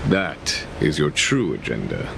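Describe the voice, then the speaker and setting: Shrewd commanding general for a game: knowing, low-voiced, unhurried, rumble behind. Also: male voice, wildly swooping pitch, creaky, exaggerated voice. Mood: wry, knowing. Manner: measured, knowing, formal. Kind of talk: dramatic game cutscene dialogue.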